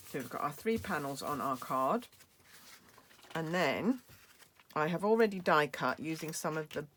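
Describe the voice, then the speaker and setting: A British woman demonstrating a craft project, chatty and explaining each step with fillers, her voice going hoarse. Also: extremely low pitch, a bit bright, slight background noise. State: losing her voice, coughing. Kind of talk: crafting tutorial.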